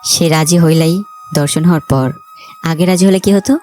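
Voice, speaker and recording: A woman's voice: deep voice, normal speed, dark tone, soft background music, pitched below the performer's own voice, close to an everyday voice. A woman voicing a cold, vengeful young man in a romantic story, with a curt, commanding manner. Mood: menacing. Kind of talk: dramatised romantic story dialogue.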